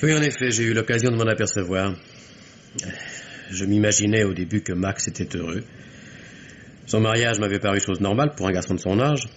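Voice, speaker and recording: Dull vintage radio recording; man plays young student, very low voice, courteous, reserved, loyal. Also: a little fast, long pauses, slight background noise, lightly acted. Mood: polite, reserved. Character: young engineering student, discreet, polite, loyal friend. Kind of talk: 1950s French radio drama acting.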